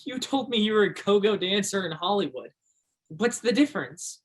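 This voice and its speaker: An actor in a stage play as an earnest, romantic teenage high school boy with a theatrical way of talking. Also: expressive, moderate pace. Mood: pleading.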